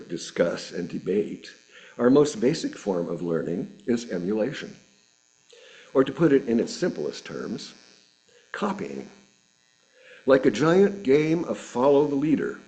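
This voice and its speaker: A man of middle age or beyond reads a prepared sermon in a fairly low voice. His intonation rises and falls widely as he moves through deliberate, measured phrases, pausing for rhetorical weight. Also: dark tone, rough recording. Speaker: reader of a sermon at a church service. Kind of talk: sermon read aloud from a script.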